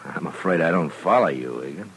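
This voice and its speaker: A man's voice: rumbling low, animated, a little fast, fairly bright tone, breathy edge, full character voice, poor audio. A man acting in a 1950s radio western as a weary frontier marshal, laconic and wry, with dry humor. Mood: guarded, wry. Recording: vintage radio recording, faint noise.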